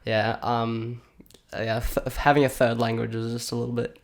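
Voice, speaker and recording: A man's voice: mid-range voice, flat delivery, moderate pace. Young man, late teens, hesitant, lots of fillers, casual podcast guest. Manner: hesitant, many fillers and restarts, laughs easily. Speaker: podcast guest, high school student. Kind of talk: casual chat.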